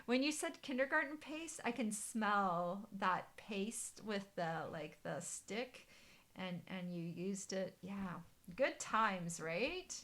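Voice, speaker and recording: A woman's voice: medium pitch, animated, takes it slowly, a bit bright. Chatty woman hosting a craft live stream. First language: German. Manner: chatty, hesitant, many ums and restarts. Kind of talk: casual live-stream chat with viewers. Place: bright living room indoors.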